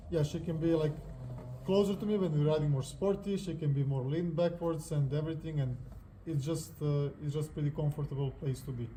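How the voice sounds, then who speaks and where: A man's voice: a little high, brisk, mellow, slight background noise, poor audio. A man with a Serbian accent hosting a motorcycle review in casual, unscripted vlog talk.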